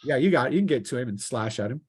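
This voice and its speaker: Halting man running a tabletop game. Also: medium pitch, lively intonation, a little mellow, breathy edge, no echo, studio quality. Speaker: game master. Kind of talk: improvised narration of a tabletop game.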